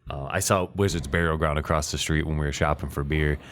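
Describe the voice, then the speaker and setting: A man's voice: very deep voice, fairly even intonation, quick pace, a bit bright, a little hiss or hum. A man hosting a beer podcast, chatting casually and rambling with lots of fillers and restarts. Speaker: podcast host. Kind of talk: casual chat.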